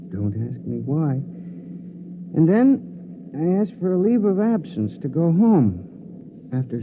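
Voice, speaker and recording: A man playing an earnest, homesick offworld worker in a halting, confessional way in a 1950s radio drama. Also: medium pitch, creaky. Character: earnest, homesick man returning after years working offworld. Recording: tinny, noisy 1950s radio recording.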